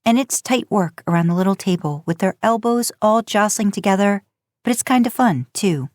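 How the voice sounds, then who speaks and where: A woman's voice: medium pitch, lively intonation, moderate pace, a little mellow, dry recording. A woman reading a story aloud as scripted narration. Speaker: podcast host narrating fan fiction.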